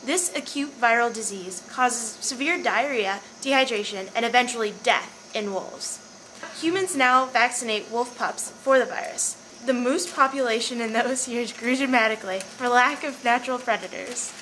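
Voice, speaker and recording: A woman narrates from a script in an informational style. Her voice sits a little high and has a bright, forceful edge. Noise is audible in the amateur recording. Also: moderate pace. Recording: noisy amateur recording with clear background noise.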